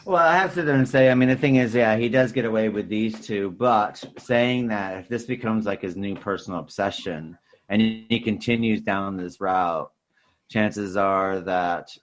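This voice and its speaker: A man's voice: lowish voice, normal speed. A middle-aged man speculating about a film in a roundabout, casual way as a podcast co-host. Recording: faint background noise, clicks.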